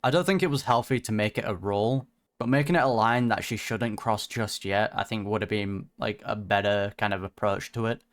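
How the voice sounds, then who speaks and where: A game reaction streamer giving casual commentary in a relaxed, thoughtful, musing way. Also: mid-range voice, fairly quick.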